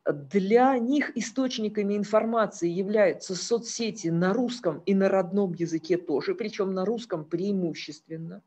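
A woman's voice: medium pitch, moderate pace, few pauses, warm tone, slightly rough. A woman giving a lecture with slides, talking earnestly with frequent hesitations and fillers.

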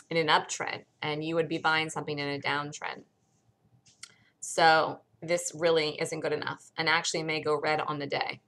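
A woman with a hoarse voice from a cold, bluntly walking through a stock chart in a casual screen-share lesson. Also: sits low, sharp tone. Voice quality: gravelly. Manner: blunt, conversational, thinks aloud while pointing at charts. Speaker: stock trading educator.

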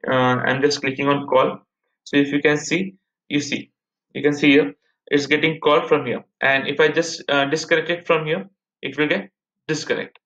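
Bright-voiced Indian man giving a tech tutorial. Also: on the high side, steady tone, normal speed. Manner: repetitive, step-by-step explaining, addressing viewers as guys. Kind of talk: casual screen-share tutorial. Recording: muffled, echoey room recording.

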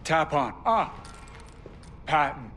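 A man's voice: on the high side, animated, slow pace, a bit bright, a little hiss or hum. A man playing a timid, flustered, starstruck helicopter pilot in a video game, stammering and gushing. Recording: music behind the voice.

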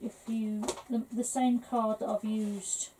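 A middle-aged woman from England, slightly hoarse, chatting her way through a papercraft tutorial at her desk with lots of fillers. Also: a little high, flat delivery, takes it slowly, mellow, a little hiss or hum, rough recording. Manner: chatty, rambling, full of fillers. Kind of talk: casual crafting tutorial.

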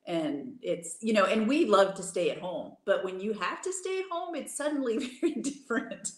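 A woman's voice: mid-range voice, normal speed. A woman in her forties co-hosting a yarn shop livestream over a video call, telling everyday stories in a chatty way. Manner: storytelling, animated anecdotes with laughs. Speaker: yarn dyer and shop co-host. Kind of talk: casual livestream chat. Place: room at home. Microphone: webcam video call. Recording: echoey room over webcam.

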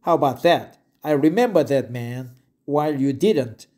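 A man slowly reads an article aloud, repeating phrases and stumbling over words. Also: mid-range voice, expressive, a little mellow. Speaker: English learner reading aloud. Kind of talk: reading a web article aloud with asides.

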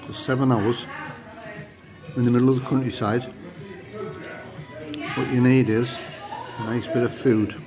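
A middle-aged man talks easily into a phone held close, rambling through a casual food review. He sounds tired but chatty, and clear music plays behind him in the pub. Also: mid-range voice, steady tone, measured pace, pauses often. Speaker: food vlogger. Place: pub dining room, indoors. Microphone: phone held close to the face.

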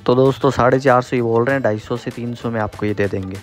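A man in his thirties from Rajasthan, vlogging in a lively, chatty way as he walks through an outdoor street market, quoting prices and haggling with vendors, recorded on a handheld camera at arm's length. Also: mid-range voice, quick pace, soft background music. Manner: lively, chatty, keeps saying "so friends", quotes prices and bargains with vendors. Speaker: travel vlogger. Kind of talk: casual vlog chat while walking a street market.

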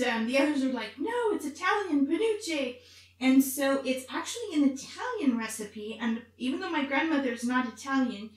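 High-voiced woman in a very echoey kitchen. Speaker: cooking show host in character as Mrs. Claus. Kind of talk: recipe demonstration to camera. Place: home kitchen, indoors. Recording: very echoey, distant mic, faint room noise.

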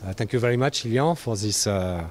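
A man gives an explanatory factory demonstration into a handheld mic, haltingly, searching for words. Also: on the low side, darkish tone. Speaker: factory guide. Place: large factory workshop, indoors. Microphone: handheld microphone. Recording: music behind the voice.

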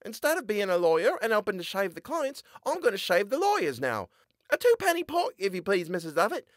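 Man doing a high boyish shop lad, young, earnest, nervous, lively swings, dry close sound. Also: brisk, no room sound. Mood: cheerful. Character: anxious young male shop boy, nervous.

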